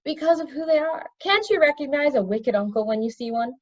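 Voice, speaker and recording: A smooth, fluid-toned wizard ringleader played by a woman reading aloud. He is a condescending storybook villain who waves doubts away. The recording sounds dull. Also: sits high, expressive, fairly quick, pitched above the performer's own voice. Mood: dismissive, confident. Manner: condescending, dismissive.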